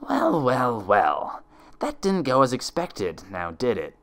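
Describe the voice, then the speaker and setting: A man's voice: mid-range voice, huge pitch swings, a bit bright, exaggerated voice. Man as a taunting, disdainful evil mare. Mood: mocking. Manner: disdainful, slow taunting, hissing. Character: mocking, cruel female impostor pony, sinister double.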